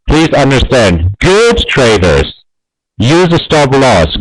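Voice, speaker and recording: A man's voice: fairly high pitch, dry recording, poor audio. German-accented man teaching a webinar. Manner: emphatic, repeats key points, many fillers. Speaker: trading educator. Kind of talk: live webinar lecture.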